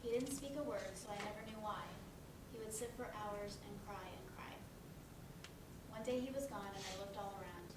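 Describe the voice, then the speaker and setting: A woman's voice: medium pitch, natural voice. A woman acting a grieving, desperate and determined young woman in a dramatic play reading. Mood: wistful. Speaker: actor in a stage play reading. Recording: echoey, noisy room, far from mic.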